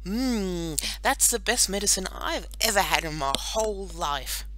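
A woman's voice: medium pitch, lively intonation, a little slow, fairly bright tone, a little hiss or hum, pitched above the performer's own voice. A woman voicing a clever, confident little boy in a storybook, eager and matter-of-fact. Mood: delighted.

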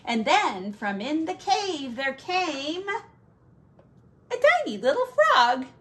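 Woman, children's storyteller, unhurried pace, lively swooping intonation, playful emphasis. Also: high-pitched. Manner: animated, drawn-out emphasis with playful asides. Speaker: teacher reading aloud to children. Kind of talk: expressive picture-book storytelling for young children.

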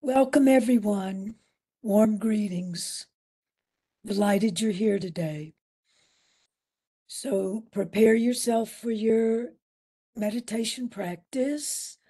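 Female meditation instructor, very unhurried, mellow and slightly husky, soothing delivery with spacious pauses. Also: medium pitch. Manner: soothing, unhurried, with long pauses between short phrases. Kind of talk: guided meditation.

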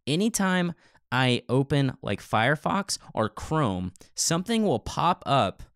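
A man's voice: mid-range voice, big pitch swings, measured pace, fairly bright tone, no echo, clean studio sound. A man co-hosting a podcast in casual tech discussion, talking in a rambling, opinionated way. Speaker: podcast co-host and web developer.

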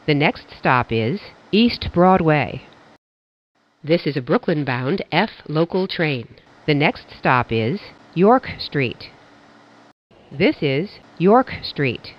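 A woman reading recorded train announcements in a measured, formulaic way with careful enunciation. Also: sits low, sing-song, lo-fi sound.

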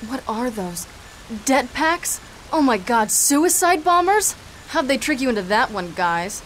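A woman's voice: high-pitched, very animated, a little slow, fairly bright tone, heavily acted. A woman playing a sassy, defiant young half-vampire fighter in a video game. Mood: mocking. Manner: sardonic, cocky. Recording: noisy game ambience behind voice.

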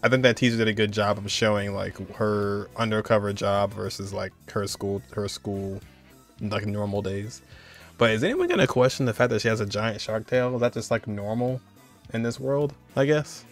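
A man's voice: fairly low pitch, moderate pace, faint music behind. A young adult man hosting a gaming reaction video with casual commentary.

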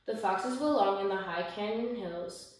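A woman's voice: mid-range voice, unhurried. Echoey young woman reading a picture book. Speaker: story time reader. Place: small indoor room, seated by a window. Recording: echoey room, distant mic, faint noise.

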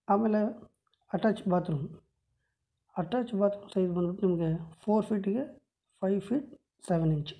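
A woman's voice: mid-range voice, dark tone. A woman explaining a house floor plan step by step, pointing with a pen at paper drawings on a table, recorded on a phone held over the page. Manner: plain step-by-step explanation, many measurements.

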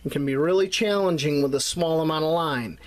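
A man's voice: a little high, a little fast. A man teaching bushcraft knots, talking through each step while his hands tie the cord. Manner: plain step-by-step explaining while tying. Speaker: bushcraft instructor. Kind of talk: hands-on knot tutorial.

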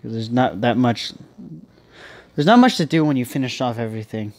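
A man's voice: medium pitch, expressive, brisk, darkish tone, dry recording. Young man with a stuffy nose rambling over gameplay. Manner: rambling, thinking aloud with many restarts. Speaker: gaming channel host. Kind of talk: casual gameplay commentary.

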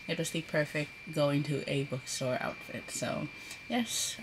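A woman's voice: sits low, noisy background. A woman in her thirties chatting casually as a vlogger, in an informal, rambling way. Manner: chatty, informal, frequent use of "like". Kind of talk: casual chatty vlog talk.

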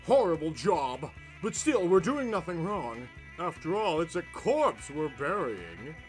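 A man's voice: a little high, very animated, measured pace, faint music behind. A man playing a stern, scheming husband, melodramatically, in a horror comic reading. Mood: grim. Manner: melodramatic, emphatic.

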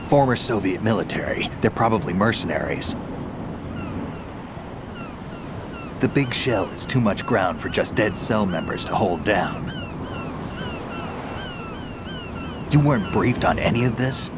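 An actor playing a world-weary, cynical veteran soldier in a video game, with a gruff, gravelly voice and a terse, matter-of-fact delivery. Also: male voice, on the low side, wildly swooping pitch, normal speed, few pauses, noisy background. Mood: probing, gruff. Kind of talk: video game cutscene acting.

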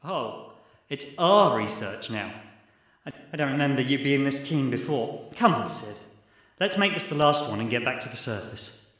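A man's voice: mid-range voice, expressive, moderate pace, fairly bright tone, close to an everyday voice. A man acting a chatty, wry young geology researcher in a radio drama. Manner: wry, talkative. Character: chatty, skittish male geology postdoc, poetry-loving and wry. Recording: echoing, cave-like reverberant sound.

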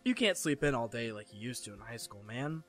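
A man voicing a bossy, theatrical teenage best friend in a visual novel, scolding loudly in mock-stern tones. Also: mid-range voice, animated, a little fast, soft background music, voice pushed down, natural voice.

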